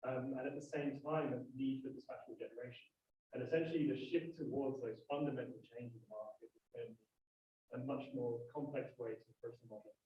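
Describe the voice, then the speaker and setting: Fast-talking British man in echoey room. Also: mid-range voice, fairly even intonation. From United Kingdom. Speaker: company representative on a panel. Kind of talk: explanatory company introduction. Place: large room at live event. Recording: echoey room, distant, dull, faint hiss.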